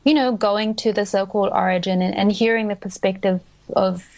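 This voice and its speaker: A woman's voice: medium pitch, fairly even intonation, brisk, darkish tone. A woman in her thirties, a researcher speaking as a guest over a remote line, hesitant with many fillers. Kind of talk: interview, casual explanation.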